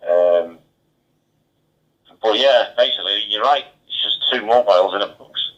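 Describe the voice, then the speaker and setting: A man's voice: fairly low pitch, a little slow, fairly bright tone. A man chatting casually as an amateur radio operator, heard over a two-way digital radio. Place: mobile radio station. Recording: dull, band-limited two-way radio transmission.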